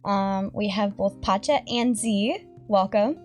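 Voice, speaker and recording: A woman's voice: medium pitch, takes it slowly, a little mellow, soft background music. A woman co-hosting a gaming podcast, bubbly and giggly, rambling a little as she talks. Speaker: podcast co-host. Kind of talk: casual podcast chat.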